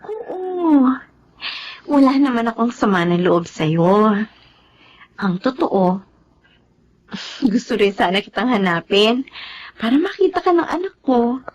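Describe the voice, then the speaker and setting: Casting: forgiving former girlfriend, Filipino accent, fairly high pitch, reassuring and chatty, TV drama. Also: big pitch swings, slow pace, long pauses, heavily acted. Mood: forgiving, earnest. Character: forgiving, composed ex-girlfriend and mother.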